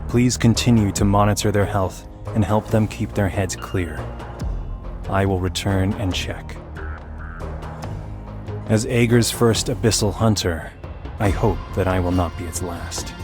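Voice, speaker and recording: A man voicing a grave, ominous hunter warrior in a video game, speaking in a solemn, measured, formal way. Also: on the low side, over music, lightly acted. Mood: grave, stern. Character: grave, ominous male abyssal hunter warrior, distrustful of land dwellers. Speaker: voice actor for a video game character. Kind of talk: scripted video game character lines.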